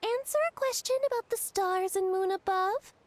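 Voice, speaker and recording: A woman's voice: extremely high pitch, moderate pace. A woman voicing a smug, scornful, self-important little fungus creature in a video game, very theatrical. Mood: grandly mocking.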